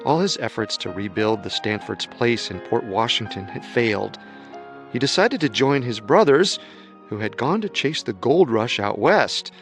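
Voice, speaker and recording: A man narrating a true-crime radio drama in a steady, storytelling manner. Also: mid-range voice, moderate pace, soft background music. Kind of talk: scripted documentary narration.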